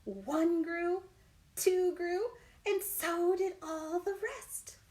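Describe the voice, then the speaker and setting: A woman's voice: extremely high pitch, steady tone, drawn-out words, a little mellow. A woman working as a children's librarian, leading storytime with an exaggerated, encouraging manner and questions to young listeners. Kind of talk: animated children's storytime read-aloud and chat.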